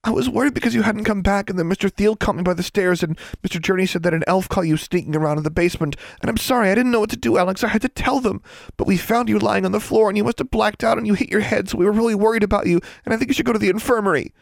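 A man's voice: sits high, rapid-fire, creaky, no echo, voice pushed up. A man voicing an anxious schoolgirl who babbles frantically. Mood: frantic.

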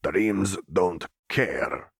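Rumbling, gravelly fish-folk cook. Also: male voice, lively intonation, a bit bright, dry recording, studio quality, heavily acted. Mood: calm, cryptic. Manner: halting broken speech in short phrases. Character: huge slow-spoken male fish-folk cook, calm, cryptic.

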